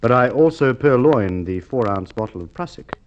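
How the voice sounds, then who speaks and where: A man acting a courteous, methodical police inspector in an old radio crime drama, with polite, measured, probing speech. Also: medium pitch, expressive, natural voice. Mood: confident accusation. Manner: polite, measured, hesitant probing with formal phrasing. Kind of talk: Golden Age Australian radio drama acting. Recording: muffled, noisy old radio recording with clicks.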